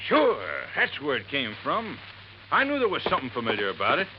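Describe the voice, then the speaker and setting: An eager, folksy helper. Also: male voice, a little high, wildly swooping pitch, a little fast, dull audio. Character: friendly, eager boatman helper. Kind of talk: 1950s radio drama acting. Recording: noisy old radio broadcast.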